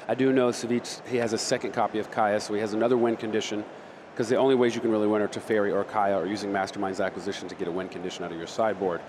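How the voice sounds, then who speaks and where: A man breaks down card game deck lists at a quick clip. His voice is fairly low. Noticeable background noise sits under the commentary. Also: little pitch movement. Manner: quick and explanatory. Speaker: esports analyst. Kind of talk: analytic color commentary.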